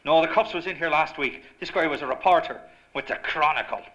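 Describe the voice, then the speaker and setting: An actor playing a blunt, suspicious bartender with slangy talk in an old-time radio drama. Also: male voice, a little high, fairly quick, natural voice. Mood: gruff, guarded. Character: gruff, suspicious male barkeep in downtown dive. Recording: dull lo-fi live stage recording, clear noise.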